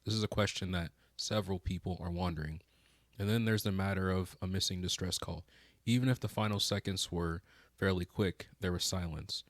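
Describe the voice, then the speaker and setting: A man's voice: low-pitched, steady tone, brisk, dry recording, clean studio sound. A man narrating a scripted documentary in a solemn, dramatic, suspenseful way. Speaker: video narrator, channel host. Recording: loud music under the voice. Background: loud music.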